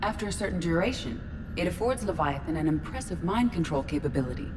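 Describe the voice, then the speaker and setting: An actress playing a calm female ship AI who speaks in a precise, measured, literal way. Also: on the low side, big-room sound, noisy background, natural voice. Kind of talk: video game dialogue.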